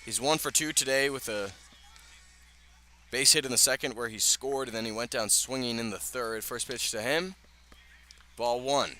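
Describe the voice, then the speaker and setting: A man's voice: medium pitch, normal speed, pauses often, bright, forceful tone. A man calling baseball play-by-play live on radio in a conversational way, with casual asides. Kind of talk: live radio sports commentary. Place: outdoor ballpark. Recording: clear ambient outdoor noise.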